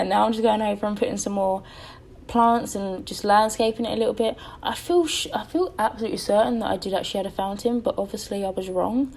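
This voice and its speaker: A young woman chats her way through a voice-over, her voice tired and faintly crackly. She rambles and stops often to correct herself, with plenty of "um" between thoughts. Her pitch sits right in the middle for a woman. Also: a little mellow. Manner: rambling, chatty, self-correcting with many ums. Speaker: game building YouTuber. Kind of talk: casual voice-over over gameplay.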